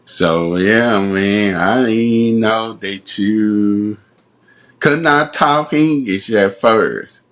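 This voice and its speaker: A man hosting a podcast, talking in a slow, laid-back way with short reactions. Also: fairly low pitch, a bit bright. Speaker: podcast host. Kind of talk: casual conversation.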